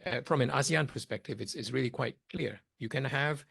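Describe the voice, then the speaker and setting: Very creaky, halting male interview guest. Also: mid-range voice, a bit bright. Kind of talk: conversational political commentary.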